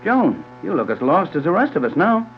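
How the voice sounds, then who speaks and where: An actor playing a steady, easygoing, skeptical captain in a 1930s radio serial, speaking in a casual, plainspoken way. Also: male voice, fairly high pitch, brisk, a little hiss or hum. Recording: tinny 1930s radio recording.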